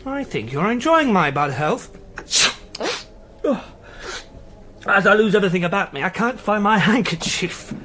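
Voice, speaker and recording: A young socialist intellectual in a period radio drama. He is earnest and argumentative, articulating with wide, animated swings of pitch. Faint noise sits behind the voice. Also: brisk. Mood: wry, fond. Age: young adult.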